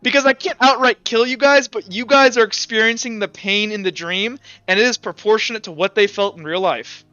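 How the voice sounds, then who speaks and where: High-voiced man in a roomy, echoing recording. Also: brisk, hardly any pauses, pushed and bright, clear, resonant tone. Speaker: game master. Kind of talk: casual talk running an online tabletop roleplaying game. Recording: roomy echo, dull lo-fi sound. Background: music.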